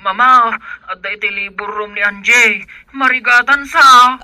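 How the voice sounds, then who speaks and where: A man's voice: very high voice, a little slow, no echo, exaggerated voice, rough recording. An actor playing a young husband and tricycle driver who dotes on his pregnant wife and turns frantic when she goes into labor, in a radio drama. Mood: urgent. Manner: doting, then loud and frantic. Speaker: expectant father.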